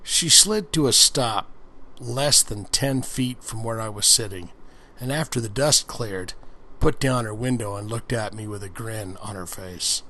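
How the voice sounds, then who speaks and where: A man reading a story aloud in a relaxed ASMR style, breaking off for crude, joking comments of his own. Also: lowish voice, unhurried. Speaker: reader of an ASMR book-reading show.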